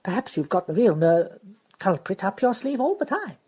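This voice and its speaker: A woman voicing a kindly middle-aged woman who speaks in a brisk, reassuring way. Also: medium pitch, very animated, dark tone, breathy edge, voice pushed up.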